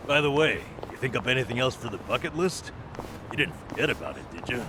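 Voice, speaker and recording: An actor playing a brash, eager, friendly sidekick in a game cutscene, casual and chummy. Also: male voice, medium pitch, very animated, quick pace, noisy background, lightly acted. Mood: friendly, curious. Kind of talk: video game cutscene acting.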